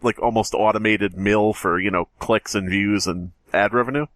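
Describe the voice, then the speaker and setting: A man's voice: lowish voice, fairly even intonation, normal speed, a bit bright, no echo. Dry-recorded man chatting casually on a podcast. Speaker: podcast host. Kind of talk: casual, profane chat and storytelling.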